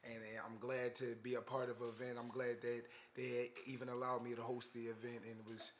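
A young man co-hosting a casual chat show, loud and full of repeated words and fillers. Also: mid-range voice, flat delivery, a little fast, a little hiss or hum. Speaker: podcast co-host.

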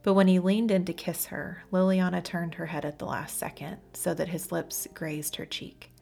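A woman's voice: fairly low pitch, flat delivery, moderate pace, vocal fry, a little mellow, breathy edge. A woman reading a story aloud as scripted audiobook narration. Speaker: audiobook reader.

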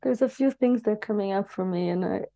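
Mellow-voiced woman, Portuguese native speaker. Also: mid-range voice, fast talker, vocal fry. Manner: searching, hesitant, with many restarts. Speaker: participant in a group conversation. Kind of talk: reflective group conversation.

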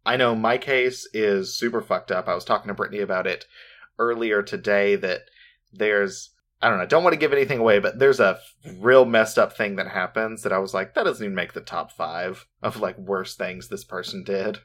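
Excitable American man with a low voice. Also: brisk. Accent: General American. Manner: excitable, swears casually, rambling asides. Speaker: podcast co-host. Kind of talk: casual podcast chat.

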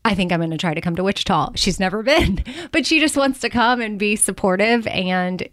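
A woman in her forties hosting a podcast, chatting casually, laughing often and slowing down when she reads something aloud. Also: mid-range voice, lively intonation, a bit bright, dry recording, studio quality. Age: 40s. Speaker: podcast host.

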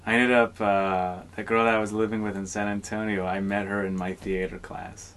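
A young man co-hosting a podcast, dropping dry, deadpan one-liners in improvised comedy banter. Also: on the low side, normal speed. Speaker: podcast co-host.

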